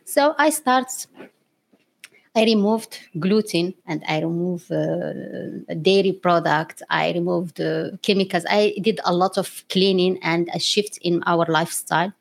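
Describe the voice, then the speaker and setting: A woman's voice: fairly low pitch, unhurried, gravelly. A woman from Morocco telling her story as an interview guest, speaking English as a later language with frequent laughs. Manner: laughs often, non-native English grammar.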